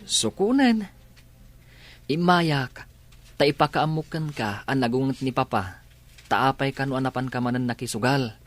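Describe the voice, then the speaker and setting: A man's voice: mid-range voice, animated, moderate pace, pauses often, lightly acted. An actor playing an earnest young student son who worries about his mother, in a radio drama. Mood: reproachful. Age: young adult.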